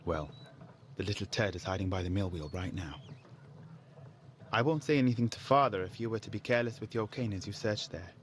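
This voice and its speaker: A man's voice: on the low side, a little fast, a little hiss or hum, close to an everyday voice. A man playing a princess's young brother in an audio game, protective, conspiratorial and a little mischievous. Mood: caring, sly. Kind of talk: audio game drama acting.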